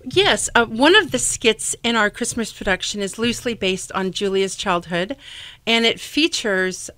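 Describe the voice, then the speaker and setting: A woman's voice: medium pitch, lively intonation, unhurried, pushed and bright, no echo. A woman explaining things articulately in a radio interview. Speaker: radio show cast member and history writer.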